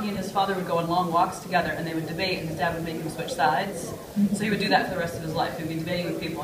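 A woman in her thirties answering audience questions in an articulate, enthusiastic, off-the-cuff academic style. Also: lowish voice. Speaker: lecturer taking audience questions. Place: hotel conference room. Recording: roomy, distant mic, audience noise.

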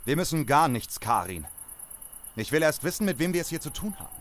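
Male actor as hot-headed, distrustful apocalypse survivor, stern questioning, lively intonation, radio-play style. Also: on the high side, a little fast. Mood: suspicious, insistent. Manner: stern, interrogating. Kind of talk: German audio drama acting.

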